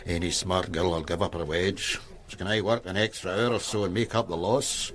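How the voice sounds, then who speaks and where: A man's voice: deep voice, steady tone, moderate pace, fairly bright tone. An actor playing a shifty male informant with a Glasgow accent who talks in a sly, wheedling, hesitant way. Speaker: police informant. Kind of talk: radio drama acting.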